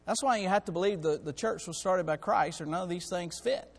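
A hoarse man on church staff preaching a sermon to his congregation, in a folksy way that calls out to them. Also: high voice, a little fast, long reverb tail. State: hoarse, has lost part of his voice. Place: church sanctuary.

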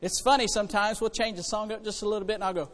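A male preacher delivers a sermon in a very high-pitched voice that rings through a big reverberant church. His manner is folksy and conversational, shifting between quiet asides and loud, urgent exhortation. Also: fairly even intonation, very fast. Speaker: preacher and church choir director. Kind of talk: animated sermon to a congregation. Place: church hall, preaching to congregation. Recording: reverberant hall with long echo.

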